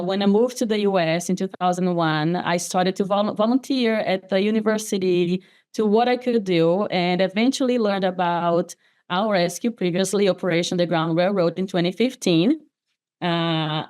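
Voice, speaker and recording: A woman's voice: mid-range voice, darkish tone. A woman from Brazil with a Brazilian Portuguese accent, a guest on a podcast, speaking earnestly over a video call and often restarting words. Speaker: nonprofit development specialist guest. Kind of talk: podcast interview, personal story.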